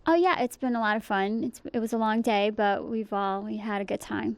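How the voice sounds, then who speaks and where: A woman's voice: mid-range voice, brisk, no echo. A woman from Connecticut, an actress, answering interview questions on set in brief, easygoing replies. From born in Portugal, raised in Connecticut.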